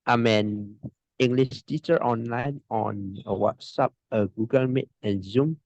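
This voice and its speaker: A man from Indonesia speaks slowly and haltingly, restarting his sentences over and over. His voice has a middle pitch. It comes through dry and a little muffled over a video call. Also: darkish tone, no echo. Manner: halting, hesitant speech with many restarts and frequent laughs. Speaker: online English teacher, interview guest. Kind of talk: casual video-call interview.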